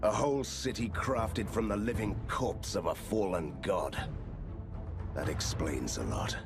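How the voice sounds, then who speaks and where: An actor playing a sardonic, resolute monster hunter hero in a video game, with dry delivery. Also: male voice, low-pitched, unhurried, gravelly. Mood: cold, resolute. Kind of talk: dramatic video game acting. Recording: loud music under the voice.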